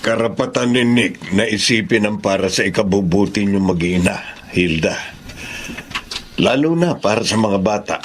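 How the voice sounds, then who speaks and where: Actor as low-voiced elderly Filipino grandfather. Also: lively intonation, moderate pace, a little hiss or hum, lightly acted. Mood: tender, reassuring. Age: older. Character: elderly grandfather, sad but accepting, affectionate father. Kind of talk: Filipino radio drama acting.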